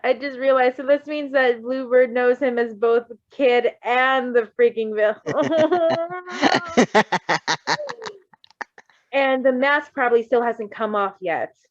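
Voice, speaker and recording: A woman reading fan fiction aloud with casual asides, expressive and emotionally invested in the story. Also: high-pitched, takes it slowly, dry recording, muffled recording.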